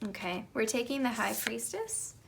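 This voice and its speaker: A young woman doing a casual tarot reading. She has just woken up and her voice is slightly raspy, and she talks in a chatty way with soft whispered asides. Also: fairly high pitch, sing-song, normal speed, background noise. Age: young adult. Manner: chatty, light laughs, soft whispered card calls. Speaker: tarot reader.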